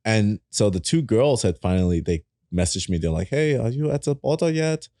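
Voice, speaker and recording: A man in his thirties, a native German speaker, telling wild travel stories as a podcast guest with lots of laughter and cursing, in a small studio on a boom mic. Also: normal speed, no echo. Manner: animated, laughing, swearing freely. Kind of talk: casual storytelling in a podcast. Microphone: boom mic on a stand.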